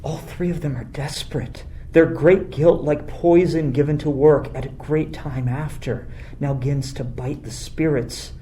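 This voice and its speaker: An anxious, kindly royal counsellor, acted by a man in a Shakespeare radio play. His tone is soft-edged and dark. There is faint background noise. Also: on the high side, fairly even intonation, measured pace, hardly any pauses, lightly acted. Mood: worried, pleading.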